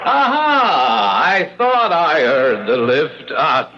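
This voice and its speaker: An actor playing a suave, devilish English gentleman landlord in a radio drama, genteel, fussy and ingratiating. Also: a little high, very animated, drawn-out words, very noisy, full character voice. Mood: smoothly welcoming. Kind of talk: old-time radio drama acting. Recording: muffled vintage radio broadcast.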